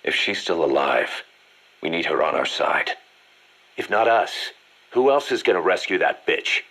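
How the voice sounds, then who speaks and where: A shrewd male military deputy in a video game. His voice sits low. He lays out plans in a pragmatic, calculating manner, like an officer giving a briefing. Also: very animated, a little slow, fairly bright tone, full character voice. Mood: calculating, businesslike. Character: shrewd, pragmatic male mercenary second-in-command. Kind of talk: dramatic video game dialogue.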